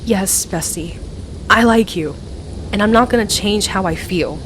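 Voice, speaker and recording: A woman's voice: medium pitch, measured pace, noisy background, lightly acted. A woman playing a playful, affectionate young best friend with a hesitant, earnest way of talking, in an ASMR roleplay audio. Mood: earnest confession.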